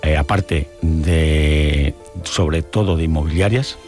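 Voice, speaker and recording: A man's voice: very deep voice, slow, a little mellow, faint music behind. A middle-aged man, secretary of a residents' association, earnestly describing changes in his area in an interview. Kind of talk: interview testimony.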